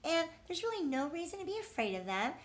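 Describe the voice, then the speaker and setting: A woman's voice: extremely high pitch, sing-song, quick pace. A woman teaching young children in an encouraging way, asking questions, answering them as if replying to the children and repeating key words. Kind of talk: interactive lesson for young children.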